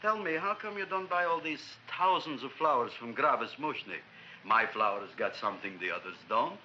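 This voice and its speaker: An actor playing an excitable, money-minded flower shop owner with a Yiddish Eastern European accent, theatrical and blustering in an old comedy film. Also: male voice, medium pitch, moderate pace. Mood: excited, salesmanlike. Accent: Yiddish-inflected Eastern European. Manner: theatrical, blustering, mangled syntax. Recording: dull, faintly noisy old film soundtrack.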